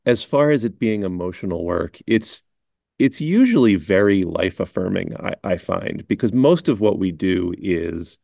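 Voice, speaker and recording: A middle-aged man speaks in a measured, wry tone. His voice is very low with a gravelly fry, and the recording is dry and close. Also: a little mellow, no room sound. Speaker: chief editor. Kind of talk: casual on-camera chat.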